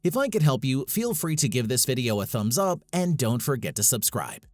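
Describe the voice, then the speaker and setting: A man's voice: mid-range voice, big pitch swings, moderate pace, dry recording. A man reading a scripted tutorial as its host, in an instructional, step-by-step manner. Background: background music.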